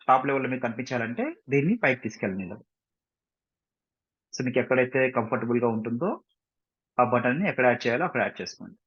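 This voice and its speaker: A man with an Indian accent giving an informal step-by-step software tutorial as instructor, mixing in English phrases and often checking understanding with short questions. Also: medium pitch, slightly rough. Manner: mixes English phrases into his explanations. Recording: dull, muffled lo-fi microphone.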